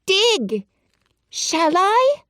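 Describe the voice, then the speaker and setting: Give me a woman playing a frail, eager young boy with a high voice. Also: big pitch swings, extremely slow, a bit bright, no echo, studio quality, full character voice.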